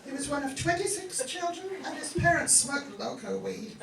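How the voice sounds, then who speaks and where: Woman playing a high-voiced, commanding Missus Claus. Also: animated, normal speed, a crowd in the background. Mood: earnest. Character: bossy, indignant Missus Claus, motherly but decisive. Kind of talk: amateur comic radio play acting. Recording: echoey room, distant mic, faint noise.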